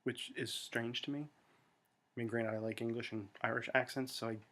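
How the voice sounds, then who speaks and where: A man's voice: mid-range voice, steady tone, moderate pace, sharp tone. An American man talking to friends in a rambling, self-deprecating monologue full of fillers and restarts, recorded at home. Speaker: vlogger.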